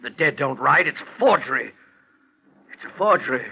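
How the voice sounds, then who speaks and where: An actor playing a guilty, frightened husband in an agitated, melodramatic style of 1940s radio drama. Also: male voice, mid-range voice, expressive, normal speed, gravelly, breathy edge. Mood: defensive, agitated. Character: guilty, fearful husband who murdered for an estate. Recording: muffled, noisy old radio recording.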